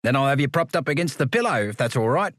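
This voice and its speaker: A man voicing a polite young male newspaper photographer who speaks briskly. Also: mid-range voice, sing-song, fairly bright tone, no echo, clean studio sound, voice pushed up. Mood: courteous.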